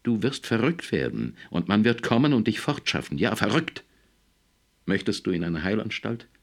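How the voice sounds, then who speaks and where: A man's voice: sits low, expressive, moderate pace, a bit bright, exaggerated voice. A man voicing a distraught historian, a stern husband barely keeping his composure, in an audiobook story. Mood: agitated anger.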